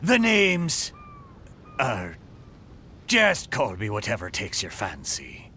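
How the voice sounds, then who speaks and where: An actor voicing a talking boat in a video game, a jolly, boastful old sailor with hearty pirate slang. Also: male voice, fairly low pitch, huge pitch swings, slow pace, pushed and bright, a little hiss or hum, exaggerated voice. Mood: hearty, amused. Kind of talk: video game character acting.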